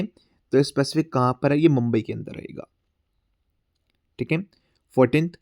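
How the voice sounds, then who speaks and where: A man's voice: mid-range voice, warm tone, dry recording, clean studio sound. Man teaching exam prep with sore throat. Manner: repeats "theek hai" as a filler, mixes Hindi and English; reads English questions slowly. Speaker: current affairs teacher. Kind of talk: exam-prep class, explaining quiz questions.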